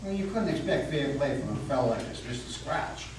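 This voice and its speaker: A man's voice: medium pitch, lively intonation, brisk. A man narrating a story in a dramatic reading with a folksy storytelling manner. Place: meeting house hall. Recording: echoey hall from far off, noisy.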